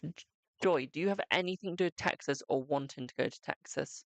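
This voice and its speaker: Chatty man, gravelly voice. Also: a little high, a little fast, dry recording. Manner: chatty, calls viewers "my darling". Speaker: psychic tarot reader. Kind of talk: live-stream card reading, chatting to viewers.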